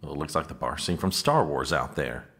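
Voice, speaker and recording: An actor playing a long-suffering, exasperated political aide with a dry, weary manner in a comedic audio drama. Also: male voice, a bass voice, animated, fairly quick, fairly bright tone.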